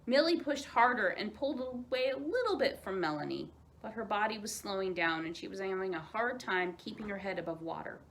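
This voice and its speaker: A woman narrating a children's story aloud as a storyteller, in an expressive, dramatized way. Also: mid-range voice, fairly quick, few pauses, poor audio.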